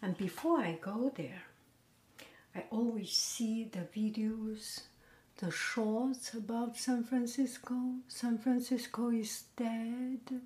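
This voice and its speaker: Slow, half-whispering woman, roomy sound. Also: fairly high pitch. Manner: gentle, half-whispered, relaxed delivery. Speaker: podcast host. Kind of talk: soft, slow casual chat. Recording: roomy echo with faint noise.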